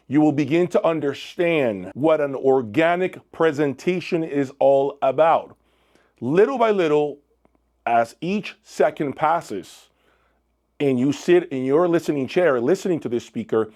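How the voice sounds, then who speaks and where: A man presents a product review as the host of his own channel. He speaks in a deliberate, emphatic way, breaking his phrases with dramatic pauses. His voice sits at an average pitch for a man. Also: a little mellow.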